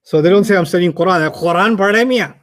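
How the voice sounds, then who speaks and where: Arabic teacher, man, native German speaker, relaxed storyteller, voice a bit high. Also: quick pace. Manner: chatty, storytelling, laughs often. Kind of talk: casual teaching chat with anecdotes.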